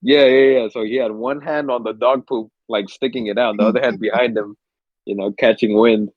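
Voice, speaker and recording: A man's voice: mid-range voice, fairly even intonation, a little fast, no room sound, lo-fi sound. A young man co-hosting a podcast, telling rambling stories in casual chat, swearing freely and a little stuffy from a cold. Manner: laid-back, swears freely, rambling storyteller. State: stuffy, under the weather. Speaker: podcast co-host.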